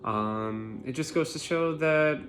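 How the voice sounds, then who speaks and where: A man reading a children's picture book aloud expressively, in an animated way for young listeners. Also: fairly high pitch, slow pace. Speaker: read-aloud storyteller.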